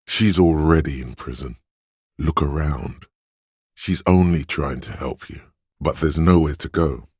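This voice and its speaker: A man playing a swaggering, money-obsessed, suave inner voice in a video game, gravelly and husky, with a cocky, theatrical delivery. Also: very deep voice, measured pace, a little mellow, no echo, clean studio sound, full character voice. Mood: cool, observant. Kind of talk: video game voice acting, dramatic narration.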